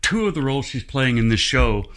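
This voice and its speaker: A man's voice: medium pitch, big pitch swings, a little fast, a bit bright. An American man, a theatre director, chatting casually in an interview. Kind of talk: casual chat.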